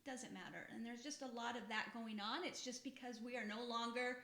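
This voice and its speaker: A woman's voice: fairly high pitch, steady tone, a little fast, sharp tone. A woman in her fifties talking casually and reflectively as a podcast host, putting her thoughts in articulate terms. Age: 50s. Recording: roomy, echoey room sound.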